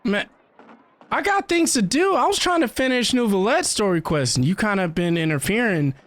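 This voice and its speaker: A woman reacting casually to a video game, talking in an animated, slangy way. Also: medium pitch, normal speed.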